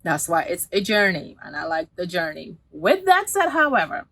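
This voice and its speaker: A woman's voice: fairly low pitch, very animated, normal speed, a bit bright. A woman, talking casually to camera as a beauty vlogger, rambling with many restarts and fillers. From Guyana.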